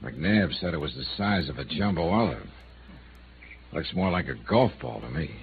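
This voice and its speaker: An actor playing a wry, world-weary investigator in a 1950s radio noir drama, with a dry, clipped, sardonic delivery. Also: male voice, very deep voice, big pitch swings, moderate pace, vocal fry, slight background noise, full character voice. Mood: wary, dry. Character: wry, world-weary freelance insurance investigator, tough and skeptical. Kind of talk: 1950s radio noir acting and first-person narration. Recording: narrow-band vintage radio recording, music behind.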